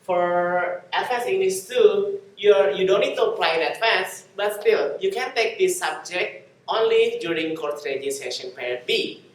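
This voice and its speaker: A man's voice: high-pitched, a little slow, a little hiss or hum. A young man giving a student talk on course registration in a classroom, speaking steadily and addressing the audience as you guys. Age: young adult. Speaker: student guide. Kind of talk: presentation explaining course registration. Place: classroom in front of a screen. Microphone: far camera. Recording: echoey classroom from far off.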